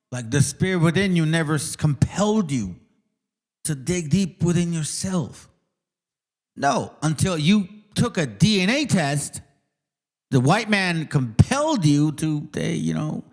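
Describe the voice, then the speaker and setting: Guy w a slightly high voice doing reaction commentary, mocking, sweary, dismissive. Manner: scornful, mocking, profane. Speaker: commentator, channel host.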